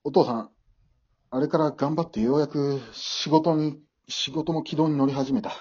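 A man's voice: on the high side, fairly even intonation, moderate pace, a little mellow, slightly rough, muffled recording. A man acting in an amateur radio drama as an awkward, remorseful middle-aged father, hesitant and easily flustered. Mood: pleading.

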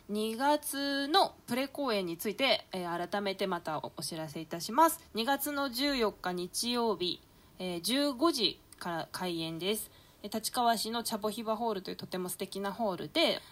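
A woman hosting a radio show, chatting casually with her guests and giving announcements in an encouraging, chatty way. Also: mid-range voice. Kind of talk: casual round-table chat with announcements.

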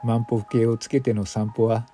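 A weary, grumbling retiree acted by a man in a radio drama. His voice is very soft-edged and dark, turned inward as he muses, with music playing behind him. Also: medium pitch, flat delivery, normal speed, natural voice. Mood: reflective.

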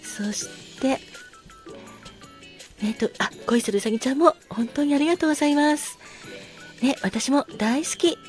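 A woman hosting a casual solo talk show, speaking politely with effusive gratitude and soft apologies. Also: sits high, normal speed, pauses often, faint music behind. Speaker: podcast host.

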